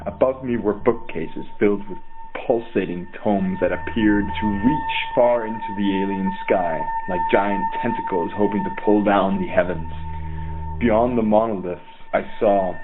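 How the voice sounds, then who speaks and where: Deep-voiced haunted scholar played by a man, tinny recording. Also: steady tone. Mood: awed dread. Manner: grave, formal, deliberate. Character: haunted, dread-stricken male scholar confessing to an audio journal. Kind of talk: scripted horror audio drama monologue, literary diction. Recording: tinny phone-like audio log. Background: music.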